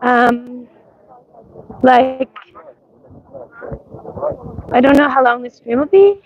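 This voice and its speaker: A woman's voice: high-pitched, drawn-out words, muffled recording. A woman with a mixed accent and a scratchy voice from coughing, livestreaming outdoors at a street parade and rambling with many ums. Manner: rambling, many ums, greets viewers by name. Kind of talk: casual livestream chat.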